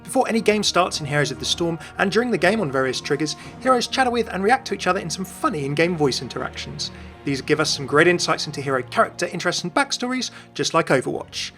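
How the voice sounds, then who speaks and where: Casting: British male gaming host, slightly high voice, rapid scripted delivery over a light music bed. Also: sing-song, bright, forceful tone. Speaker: video host. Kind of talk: scripted narration, game commentary.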